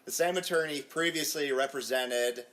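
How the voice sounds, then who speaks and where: A man hosting a podcast in casual banter, reading out news bits in a low-key, slow way. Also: a bit bright. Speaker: podcast host.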